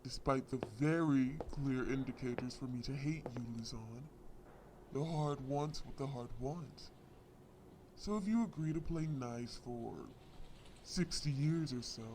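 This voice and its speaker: An actor playing a smug, lovesick crime lord in an audio roleplay, drawling and long-winded with polite menace. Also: male voice, mid-range voice, darkish tone, slight background noise, close to an everyday voice. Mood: coolly bargaining. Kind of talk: scripted audio roleplay acting.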